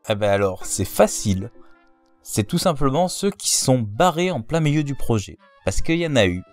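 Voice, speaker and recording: A man's voice: mid-range voice, sing-song, moderate pace, faint music behind. A man giving blunt, ranting answers in a casual way.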